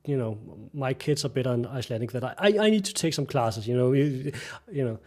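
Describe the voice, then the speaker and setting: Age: middle-aged. Sex: man. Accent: Danish. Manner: halting, restarts often, searches for words. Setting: video call from a bright home room. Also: mid-range voice. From Denmark. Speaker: guest. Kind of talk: casual interview chat.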